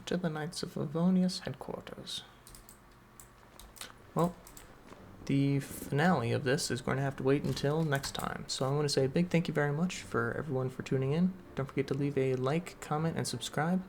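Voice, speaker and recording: A man's voice: fairly high pitch, normal speed, a little hiss or hum. A man giving casual live gameplay commentary as a streamer. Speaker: game streamer.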